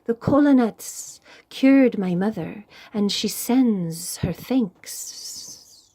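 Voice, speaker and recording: Woman playing a slow, drawling grateful python. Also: mid-range voice, expressive, warm tone, heavily acted. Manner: slow, drawn-out speech. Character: grateful male python, slow-talking helper snake.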